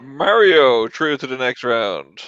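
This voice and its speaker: A man's voice: medium pitch, very animated, slow, fairly bright tone, clear, resonant tone, no echo. A man casually hosting a panel debate show, speaking in a conversational way. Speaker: host. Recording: dry, slightly dull lo-fi mic.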